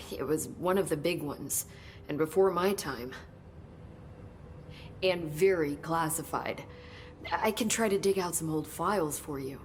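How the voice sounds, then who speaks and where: A woman playing an earnest, helpful government agency staffer in video game dialogue, explaining things in a halting way. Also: sits low, a little hiss or hum.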